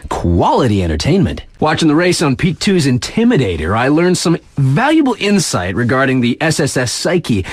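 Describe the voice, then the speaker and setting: A man's voice: a little high, no room sound. A man playing a hyped yet laid-back young radio DJ in a snowboard video game, slangy and wry, stretching key words for emphasis. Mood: excited, amused. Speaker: radio DJ and race announcer.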